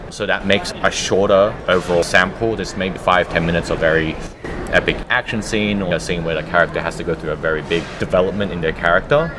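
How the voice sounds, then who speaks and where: Male interview guest, low-pitched, conversational, explaining his work over clear background noise. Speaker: interview guest, audiobook producer. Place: live convention, busy surroundings. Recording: noisy live convention recording.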